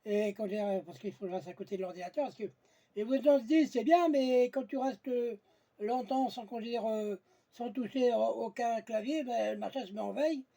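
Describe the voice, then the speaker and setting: A man's voice: very high-pitched, normal speed, creaky, mellow. A man chatting casually on amateur radio, blunt and colloquial, often searching for his words. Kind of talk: casual radio contact chat.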